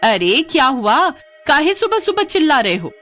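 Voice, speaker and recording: A woman's voice: high-pitched, very animated, moderate pace, fairly bright tone, soft background music, pitched above the performer's own voice. A woman voicing an exasperated village wife with a scolding, exclamatory manner in a comic story. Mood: annoyed scolding. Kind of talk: Hindi comic story acting.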